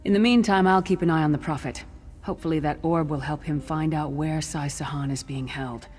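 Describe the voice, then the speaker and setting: An actress playing a battle-worn, earnest female warrior companion in a fantasy video game, telling things gravely. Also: low-pitched, steady tone, a little fast, a little mellow, faint music behind, close to an everyday voice. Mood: resolved. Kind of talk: video game character dialogue.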